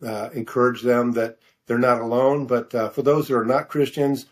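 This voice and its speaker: An older man answering interview questions as a guest author, speaking in a hesitant, halting, rambling way. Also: medium pitch, flat delivery. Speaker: novelist guest being interviewed.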